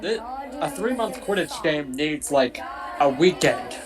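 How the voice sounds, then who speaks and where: A man's voice: a little high, expressive, measured pace, crowd noise. A man in a casual film watch-along, quibbling and joking as he comments. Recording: loud film music behind. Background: film soundtrack music.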